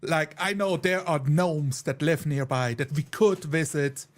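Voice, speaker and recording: A man with a slightly high voice talks casually as a player on a tabletop game stream. He is talkative and wry, slipping dry jokes into his explanations. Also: unhurried. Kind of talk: casual livestream chat.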